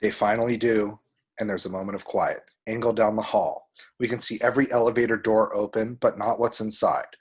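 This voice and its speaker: A man with a husky voice reads screenplay action descriptions aloud as a narrator. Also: on the low side, steady tone, a little fast. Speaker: table-read action narrator.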